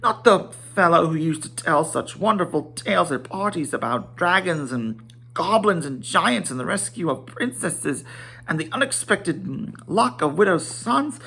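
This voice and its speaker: A man performs an excitable little hobbit gentleman from a storybook. His voice is pushed up high and leaps about. He is fussy and flustered, rambling on with polite exclamations. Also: moderate pace, vocal fry, breathy edge, heavily acted. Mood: astonished, delighted. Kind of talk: dramatic storybook reading.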